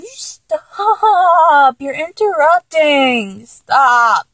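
High-pitched, exasperated girlfriend, acted by a woman. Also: sing-song, very slow, a bit bright, dry recording, full character voice. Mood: giggly protest. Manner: exasperated, teasing, whiny, with sighs and giggles. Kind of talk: intimate adult audio roleplay, one-sided dialogue.

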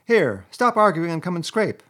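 A man voicing an excitable, impatient rat in a storybook, kindly but bossy, with an urgent, exclamatory manner. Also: on the high side, moderate pace, voice pushed up. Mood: impatient despair. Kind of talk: storybook character voice.

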